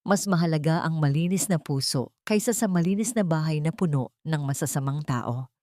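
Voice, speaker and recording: A woman's voice: sits low, fairly quick, dark tone, no room sound, lightly acted. A woman voicing a brave little boy in a story, earnest and holding back defiance. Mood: defiant.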